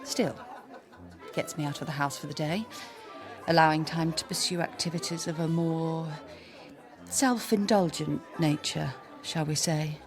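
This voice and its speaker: An actress playing a flirtatious, bored upper-class wife in a period radio drama, teasing and sardonic. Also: female voice, sits low, measured pace. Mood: bitter, wry. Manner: arch, teasing. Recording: music behind the voice.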